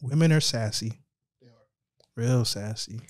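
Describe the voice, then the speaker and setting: A man's voice: medium pitch, slow. A man co-hosting a podcast in casual banter, teasing the others and laughing a lot. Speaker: podcast co-host.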